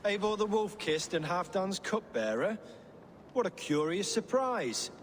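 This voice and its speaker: A man's voice: high voice, big pitch swings, unhurried, slight background noise. An actor playing a sly, scheming middle-aged puppet king who talks in a wry, silky, evasive way. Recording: game audio with long reverb.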